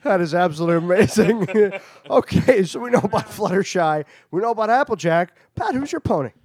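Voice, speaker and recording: A man's voice: high-pitched, lively intonation, brisk, a little mellow. A man hosting a casual podcast, laughing often and teasing his guests. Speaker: podcast host.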